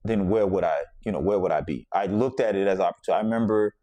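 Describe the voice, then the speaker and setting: A man's voice: sits low, fast, warm tone, no room sound. A man from the Bahamas hosting a podcast, telling a personal story in a reflective, conversational way and circling back to repeat his points. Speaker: podcast host.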